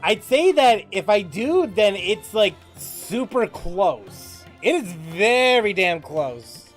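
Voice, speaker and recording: A man giving casual live commentary as a gaming streamer, musing informally in a halting way. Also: high voice, sing-song, soft background music.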